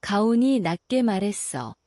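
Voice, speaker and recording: Female storyteller for a bedtime story, mellow and soothing, melodic delivery, dry close sound. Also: medium pitch, sing-song, dry recording. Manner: slow, soothing and gentle. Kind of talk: calm bedtime story narration.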